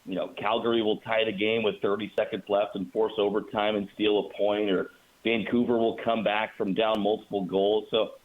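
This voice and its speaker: An American man, a radio play-by-play announcer, giving conversational sports analysis as a guest over a remote call. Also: fairly low pitch. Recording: muffled phone-like call.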